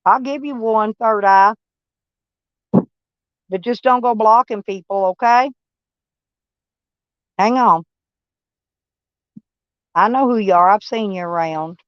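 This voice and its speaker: A woman's voice: medium pitch, slow, long pauses, smooth, no room sound, lo-fi sound. Woman with a Texas twang. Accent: Texan. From Kentucky, USA. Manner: rambling, folksy, blunt and swearing. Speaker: livestream host. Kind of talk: casual live-stream chat with viewers.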